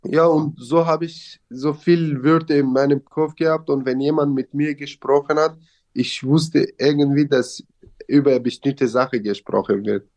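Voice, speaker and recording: Mann mit syrisch-arabischem Akzent. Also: male voice, a little high, steady tone, measured pace, darkish tone. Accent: Syrian Arabic. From Syria. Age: young adult. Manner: non-native German with grammar slips and hesitations. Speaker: interviewee. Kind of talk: casual interview.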